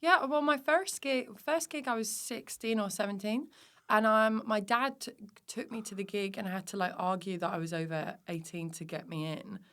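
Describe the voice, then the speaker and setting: A woman's voice: medium pitch, normal speed, a bit bright. Chatty, self-deprecating woman comedian. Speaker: guest comedian and physical performer. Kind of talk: casual podcast chat.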